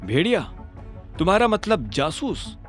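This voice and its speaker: A man voicing a calm, self-assured young hero in an audio drama, with a plain questioning manner. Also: sits high, a little slow, soft background music, voice pushed up. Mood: curious, composed.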